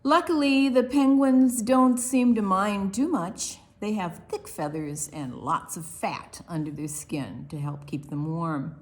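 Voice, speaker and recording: A woman reading a picture book aloud for preschoolers, expressively and deliberately, with quiet asides to the young listeners. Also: medium pitch. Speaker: children's librarian hosting online storytime.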